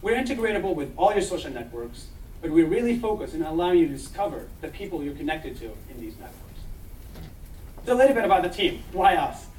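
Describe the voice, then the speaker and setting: A young man from Israel giving an eager startup pitch, talking fast and restarting his phrases. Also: big pitch swings, a little mellow, a little hiss or hum. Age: young adult. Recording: echoey hall, mic from far off.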